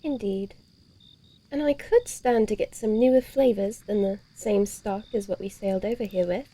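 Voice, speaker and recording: A woman's voice: medium pitch, moderate pace, mellow, lightly acted. A woman playing a bloodthirsty, grumbling female vampire in an audio horror-comedy, speaking dryly and theatrically in old-fashioned phrases. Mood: wry, grumbling.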